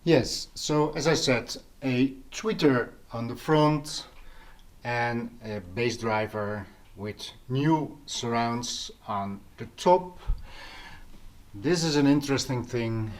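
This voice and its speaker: A man hosting a casual audio gear vlog, explaining things informally and pausing mid-sentence as he goes. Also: mid-range voice. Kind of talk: casual informal product talk to camera.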